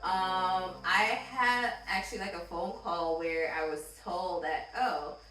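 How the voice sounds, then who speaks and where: Woman, relaxed animated storyteller, distant echoey mic, music behind. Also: on the low side, takes it slowly. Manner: laid-back, animated storyteller. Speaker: mother in a group conversation. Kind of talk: casual storytelling.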